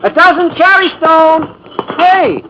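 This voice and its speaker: An American actor playing a small, wispy, fussy, excitable waiter in 1950s radio drama. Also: male voice, extremely high pitch, slow, noisy background, exaggerated voice. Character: wispy little nervous, kindly waiter. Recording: muffled 1950s radio recording.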